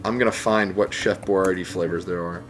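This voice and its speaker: A man's voice: low-pitched, steady tone, a little fast. A man talking casually as a guest on a group podcast. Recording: faint background noise.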